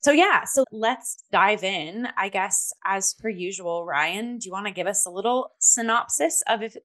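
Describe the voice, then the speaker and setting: A woman co-hosts a chatty arts review show. Her voice sits at an ordinary middle pitch, and she talks in a playful, gushing way, casual and conversational. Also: normal speed, fairly bright tone. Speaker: podcast co-host.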